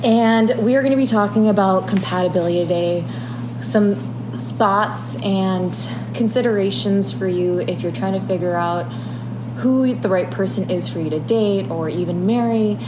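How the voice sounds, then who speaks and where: A woman's voice: mid-range voice, moderate pace, noisy background. A woman hosting a relationship podcast, reading a scripted intro and then interviewing guests in an upbeat, encouraging way. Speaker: podcast host.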